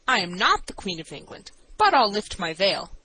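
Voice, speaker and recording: A woman's voice: mid-range voice, very animated, moderate pace, bright, forceful tone, husky, exaggerated voice. A woman voicing a stately, stubborn young woman feigning madness in an audiobook. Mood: stately, insistent. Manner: haughty, insistent.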